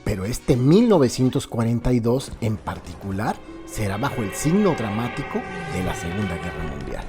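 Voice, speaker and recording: A man's voice: lowish voice, very animated, normal speed. A man with a Mexican accent narrates a crime radio serial as a dramatic scripted story, addressing listeners directly in a theatrical way. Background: background music.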